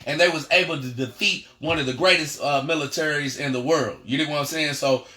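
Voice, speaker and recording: An American man delivers a political lesson with a bright, forceful voice. He is emphatic and rallying, circling back over phrases and tripping on words as he goes. The sound is roomy, with clear echo around his voice. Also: a little high, a little fast. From United States. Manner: emphatic, repetitive, rallying, with frequent stumbles. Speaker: political education teacher. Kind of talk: impassioned political lecture with read-out passages.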